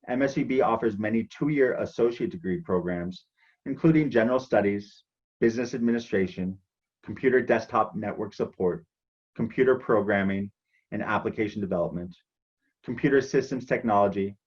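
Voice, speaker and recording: A man presenting university programs in a measured, scripted manner. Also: on the low side, slightly rough, muffled recording. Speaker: international outreach presenter.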